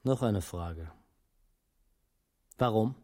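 A man's voice: on the low side, expressive, extremely slow, dark tone, full character voice. A man playing a brash, suspicious small-time crook in a dubbed film drama, blunt, sardonic and probing. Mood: impatient, defiant.

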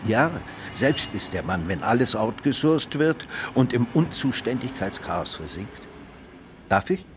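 A man's voice: mid-range voice, sing-song, a little slow. An actor in a radio play playing a polite, self-assured, handy male stranger. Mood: courteous, confident. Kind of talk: naturalistic radio play dialogue. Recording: noisy outdoor street scene with vehicles.

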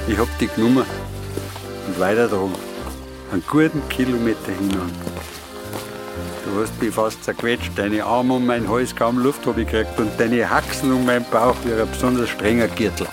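An actor playing a fond, wry, stubborn old hiking companion with a Bavarian accent, in a naturalistic dialect radio drama. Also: male voice, mid-range voice, normal speed, music in the background, natural voice. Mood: fond, reminiscing. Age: older.

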